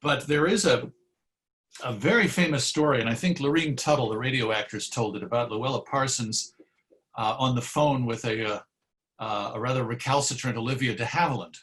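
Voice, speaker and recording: A man with a middle-pitched voice talks as a guest in an interview. He is articulate and discursive, building his points like an anecdote in an easy conversational style. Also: normal speed, fairly bright tone, poor audio. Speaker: interview guest, radio historian.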